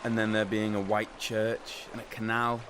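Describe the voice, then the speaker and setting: A man's voice: fairly low pitch, little pitch movement, moderate pace, fairly bright tone, a little hiss or hum, natural voice. A man acting an eager, wordy character who reminisces theatrically about boarding school in a literary drama. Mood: nostalgic, animated.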